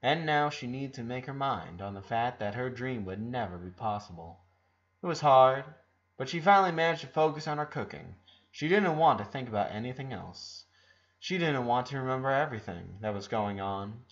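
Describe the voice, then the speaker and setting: A man reading a story aloud as a narrator, with expressive, emphatic delivery and dramatic stresses. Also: medium pitch, normal speed. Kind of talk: scripted narration, audiobook-style story reading. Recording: dull lo-fi recording.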